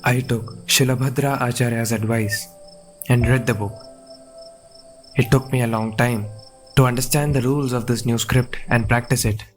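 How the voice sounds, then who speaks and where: A man as reflective student narrator, medium pitch. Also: steady tone, moderate pace, natural voice. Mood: earnest, hopeful. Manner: solemn, reflective. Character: earnest, reflective male student recounting his struggles. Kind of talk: scripted first-person narration. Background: faint music.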